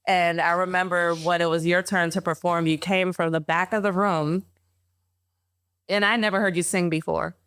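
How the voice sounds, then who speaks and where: A woman hosting a podcast interview, chatty and affirming, quick to laugh as she asks her questions. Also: fairly low pitch, steady tone, fairly bright tone, clear, resonant tone, no echo. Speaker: podcast host. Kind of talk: conversational interview.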